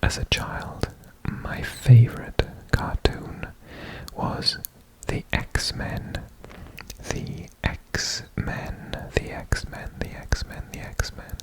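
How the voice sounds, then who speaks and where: A person from Wales whispering in a breathy, deliberate way for ASMR, repeating words. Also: poor audio. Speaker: ASMR host presenting a personal guessing quiz.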